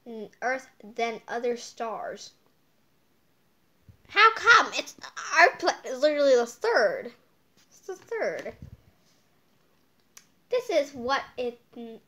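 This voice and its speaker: Female storytime host, higher voice, unhurried pace, casual and whimsical, wanders into playful tangents. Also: a bit bright. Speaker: storytime read-aloud host. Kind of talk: casual read-aloud with rambling playful asides.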